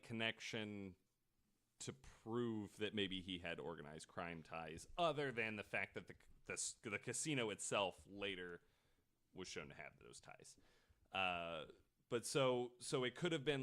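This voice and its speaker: A man's voice: lowish voice, a bit bright, clean tone. A man podcast host telling a story in a casual, informal way with some swearing. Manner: informal, profane.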